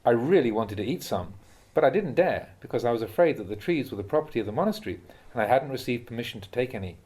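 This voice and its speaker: A man with a mid-pitched voice reads spiritual teachings in the style of an audiobook. His delivery is measured and instructive, and each phrase is clearly set out. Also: expressive, darkish tone. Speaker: reader of translated Buddhist teachings.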